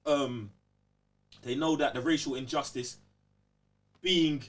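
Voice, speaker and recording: A man's voice: medium pitch, steady tone, takes it slowly, a bit bright, recorded from a distance. A young man with a London accent talking emphatically and in slang on a casual podcast, often checking that he's being followed. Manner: emphatic, slangy, repeats check-in tag phrases. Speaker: podcast host.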